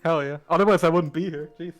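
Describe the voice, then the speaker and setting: A man's voice: on the high side, steady tone, fast, soft background music. A man doing casual live fighting-game commentary, laid-back and joking, with frequent swearing. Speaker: esports commentator.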